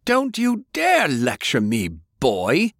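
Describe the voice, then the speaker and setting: A man's voice: a little high, huge pitch swings, takes it slowly, fairly bright tone, dry recording, studio quality, voice pushed up, heavily acted. A man voicing a domineering rich old grandfather. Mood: furious. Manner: commanding, blustering.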